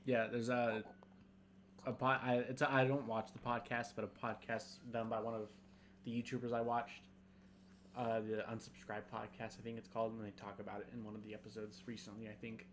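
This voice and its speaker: A man's voice: fairly low pitch, flat delivery, fairly bright tone, a little hiss or hum. A young adult man co-hosting a podcast, chatting loosely with fillers and restarts, sometimes reading a script aloud. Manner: rambling, halting, many fillers. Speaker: podcast co-host.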